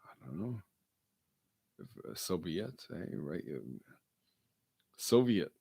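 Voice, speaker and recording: Gravelly low-voiced man rambling slowly. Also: very animated, warm tone, no room sound. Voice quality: gravelly baritone. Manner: meandering, self-deprecating, mumbled asides. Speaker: solo broadcaster. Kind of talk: rambling late-night monologue.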